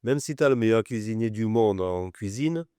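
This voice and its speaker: A man's voice: on the low side, expressive, normal speed, dark tone, clear, resonant tone, no room sound, clean studio sound. A man with a German accent whose first language is German, a chef telling stories and teasing in casual chat. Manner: teasing, storytelling.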